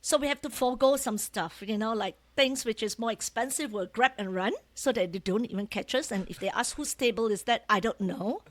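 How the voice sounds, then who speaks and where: A Malaysian woman with a Malaysian accent, whose first language is Malay, telling stories as an interview guest in a lively, chatty way, often adding tag questions. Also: on the high side, fairly quick, few pauses. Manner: animated, often ends phrases with tag questions. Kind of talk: casual storytelling interview.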